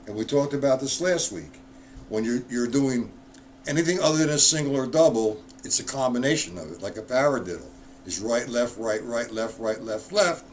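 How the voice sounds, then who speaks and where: Relaxed man teaching drums live. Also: mid-range voice, moderate pace, a little hiss or hum. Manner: loose, conversational, answers viewers in chat. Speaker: drum teacher. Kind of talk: live-streamed drum lesson.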